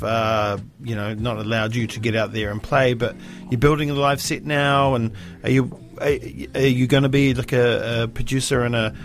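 A man hosting a casual radio interview, asking questions in a friendly, affirming way. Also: mid-range voice.

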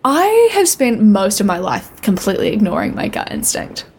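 A woman's voice: mid-range voice, big pitch swings, moderate pace, darkish tone, a little hiss or hum. A young woman co-hosting a podcast, talking candidly and thoughtfully, often searching for the right word. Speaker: podcast co-host. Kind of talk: casual chat.

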